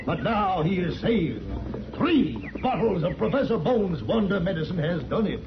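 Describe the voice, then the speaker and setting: An actor playing a pompous traveling quack professor in an old-time radio drama, with florid, declamatory sales oratory. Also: male voice, high-pitched, sing-song, normal speed, noisy background. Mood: solemn buildup. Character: pompous traveling medicine-show quack professor, slick and theatrical. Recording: dull old radio recording, crowd behind.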